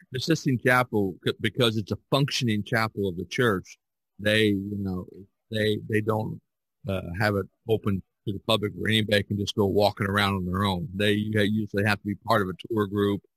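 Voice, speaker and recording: A man hosting a podcast, talking casually about art in a rambling, halting way with frequent restarts. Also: lowish voice, a bit bright, no echo, low-quality recording. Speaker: podcast host discussing art. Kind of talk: casual conversational discussion.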